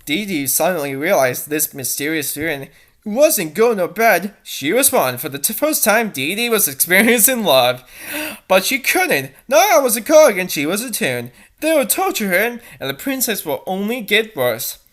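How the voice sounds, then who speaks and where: A woman's voice: mid-range voice, very animated, normal speed. A woman reading a story aloud theatrically and adding exasperated, mocking asides. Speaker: fanfic reader and commentator.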